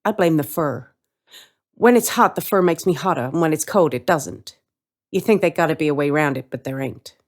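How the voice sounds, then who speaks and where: A woman's voice: deep voice, lively intonation, normal speed. A woman reading a first-person story in character, with a wry, streetwise, colloquial manner. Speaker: story reader.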